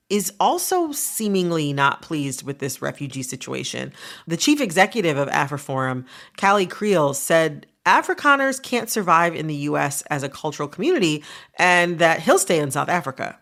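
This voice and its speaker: A woman with a notably low voice hosts the show. She asks questions in a curious, conversational way and fills in background for listeners. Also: a bit bright. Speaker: podcast host.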